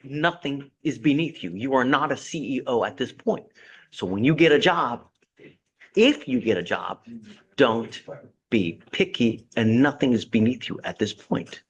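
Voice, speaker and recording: A man's voice: medium pitch, expressive, unhurried, lo-fi sound. Blunt, sarcastic male judge. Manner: blunt, sarcastic, folksy sayings. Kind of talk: stern courtroom lecture from the bench. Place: courtroom.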